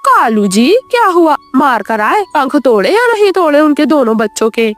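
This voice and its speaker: A woman's voice: high voice, very animated, moderate pace, soft background music. A woman voicing a bossy female crow wife in a children's cartoon, calling out and egging her husband on.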